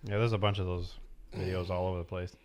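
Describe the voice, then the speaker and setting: A man's voice: low-pitched, flat delivery, fast talker, a little mellow. A man co-hosting a podcast, making dry offhand remarks from off-mic. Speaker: podcast co-host. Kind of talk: casual podcast chat.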